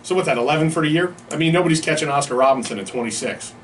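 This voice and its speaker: Fast-talking man hosting sports radio banter. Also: a little high. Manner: informal, slangy, bantering. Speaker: sports talk show host. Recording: distant mic, faint background noise.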